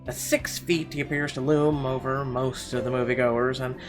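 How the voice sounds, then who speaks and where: A man's voice: mid-range voice, fairly even intonation, normal speed. Man reading game narration over music. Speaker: let's play gamer. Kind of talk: reading visual novel narration aloud.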